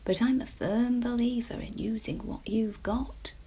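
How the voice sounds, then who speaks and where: A woman voicing a kindly male earthworm, slow, folksy and gently reassuring. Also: fairly high pitch, steady tone, husky, heavily acted. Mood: wise.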